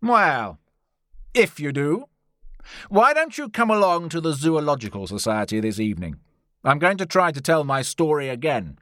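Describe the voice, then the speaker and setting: A man's voice: on the high side, sing-song, moderate pace, bright, forceful tone, dry recording, studio quality, pitched above the performer's own voice. A man voicing a hot-tempered, scornful scientist and adventurer in an audiobook story, speaking emphatically and indignantly. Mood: grudging, condescending.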